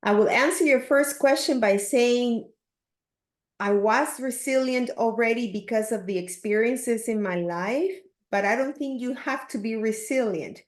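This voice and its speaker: A woman in her fifties from Peru with a Peruvian Spanish accent, speaking in a measured, earnest way as an interview guest. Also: fairly high pitch. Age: 50s. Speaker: podcast guest, leadership coach. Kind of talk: reflective interview talk. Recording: roomy, echoing sound.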